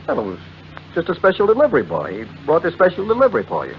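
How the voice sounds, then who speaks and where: An actor playing a suspicious, insistent husband in a theatrical 1940s radio style. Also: male voice, fairly high pitch, wildly swooping pitch, fast talker. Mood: suspicious, demanding. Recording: muffled, noisy 1940s radio recording.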